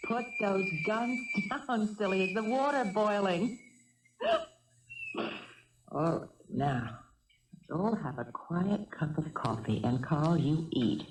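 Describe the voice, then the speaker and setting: A woman's voice: on the low side, lively intonation. A fussing, unflappable motherly housewife. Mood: brisk, motherly. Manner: brisk, scolding yet caring. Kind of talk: 1970s radio drama acting. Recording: distant old radio broadcast.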